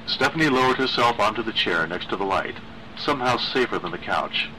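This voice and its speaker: A man narrating a literary horror story in a measured, dramatic way. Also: lowish voice, lively intonation, bright, forceful tone, slight background noise, rough recording.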